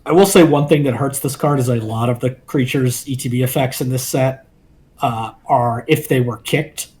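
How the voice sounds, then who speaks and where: Measured man co-hosting. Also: mid-range voice, darkish tone, a little hiss or hum. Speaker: co-host. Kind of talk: card game review chat.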